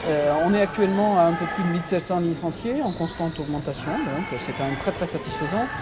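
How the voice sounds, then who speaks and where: Casting: middle-aged woman, committee president, halting and deliberate interviewee, recorded in a very noisy sports hall. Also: lowish voice. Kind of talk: interview remarks.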